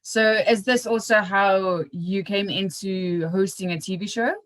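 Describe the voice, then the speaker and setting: A woman's voice: mid-range voice, steady tone, a little slow. A woman hosting a podcast, asking questions in a casual, encouraging and enthusiastic way. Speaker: podcast host, interviewer. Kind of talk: casual interview chat.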